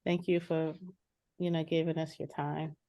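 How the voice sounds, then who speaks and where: A woman's voice: low voice, level tone, normal speed, mellow. A woman playing a reserved, practical sister in a role-played therapy session, answering briefly and without fuss. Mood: matter-of-fact. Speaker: therapy client. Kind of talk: improvised role-play dialogue.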